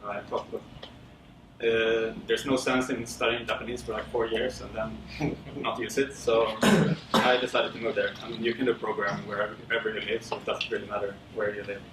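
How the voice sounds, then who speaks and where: A man from Sweden presenting casually at a tech meetup, with many fillers and a relaxed, rambling delivery. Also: on the low side, a little fast. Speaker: conference speaker. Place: lecture room. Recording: roomy, distant with audible background noise.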